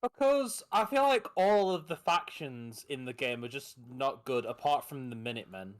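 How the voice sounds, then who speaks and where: A man's voice: medium pitch, expressive, normal speed. A man co-hosting a casual podcast, dry and sarcastic in his banter. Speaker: podcast co-host. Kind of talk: casual chat.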